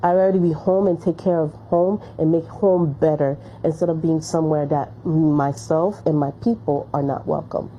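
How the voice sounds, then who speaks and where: Woman interviewee, low dark voice, firm, dull lo-fi sound. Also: fairly even intonation, moderate pace, few pauses, slight background noise. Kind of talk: documentary interview.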